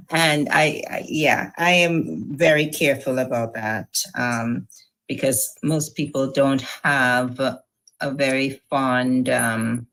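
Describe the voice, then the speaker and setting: A woman with a Trinidadian accent, co-hosting a casual news chat with quick laughs and playful asides. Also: rumbling low, fairly even intonation, fairly bright tone, low-quality recording. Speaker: podcast co-host.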